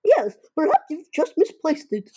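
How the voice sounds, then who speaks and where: A woman's voice: high-pitched, wildly swooping pitch, moderate pace, mellow, no room sound, studio quality, pitched above the performer's own voice. A girl of about twelve playing a fussy, flustered school principal in a comedic radio drama. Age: child about twelve.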